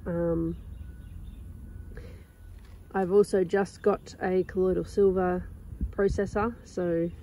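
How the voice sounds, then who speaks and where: Croaky woman chatting in a garden. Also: fairly low pitch, little pitch movement, takes it slowly, long pauses, slight background noise, low-quality recording. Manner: rambling, chatty, with many ums and soft laughs. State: croaky with a sore throat. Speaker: gardening vlogger. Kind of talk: casual chat. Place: outdoors in a sunny garden.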